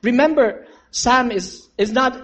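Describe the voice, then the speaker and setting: Earnest preacher telling a story. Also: male voice, extremely high pitch, measured pace, a bit bright. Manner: earnest, addresses listeners as friends, asks for amens. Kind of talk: storytelling sermon.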